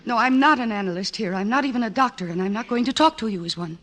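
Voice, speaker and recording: A woman's voice: fairly high pitch, fast talker, a bit bright. An actress playing an earnest woman psychiatrist in love, emotional and insistent, in 1940s radio drama style.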